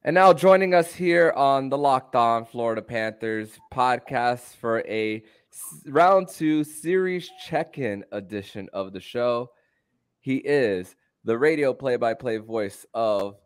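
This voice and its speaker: A man hosting a sports podcast, talking in a halting way with repeated and drawn-out words. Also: mid-range voice, clean tone, dry recording, studio quality. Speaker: podcast host.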